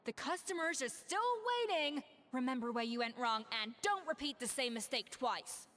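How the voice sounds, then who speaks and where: Sharp, high-voiced exacting head chef. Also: female voice, expressive, in a large hall. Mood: stern. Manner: brisk, scolding, crisp. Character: Escoffier, exacting, stern young woman head chef, sharp-tongued perfectionist. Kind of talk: anime-style video game voice acting.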